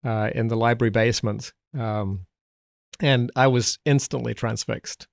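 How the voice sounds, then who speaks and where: Middle-aged man, blended London and American accent, gravelly tone, dry close mic; a thoughtful guest who restarts his sentences often. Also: medium pitch, fairly even intonation, dry recording. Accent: mixed South London and American. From England. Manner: thoughtful, halting, with many fillers and restarts. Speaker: guest, Old Testament scholar. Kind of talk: relaxed podcast conversation.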